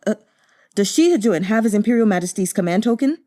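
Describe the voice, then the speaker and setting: A woman's voice: mid-range voice, expressive, fast talker, dark tone, close to an everyday voice. A woman reading a novel aloud, voicing a flustered young male guard captain who stammers and grovels. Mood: hesitant. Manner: stammering, panicked and deferential.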